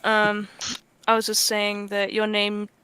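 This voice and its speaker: A woman's voice: mid-range voice, completely flat delivery, takes it slowly, bright, forceful tone, clean tone. A woman co-hosting a livestream with casual, playful, sweary banter. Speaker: livestream co-host.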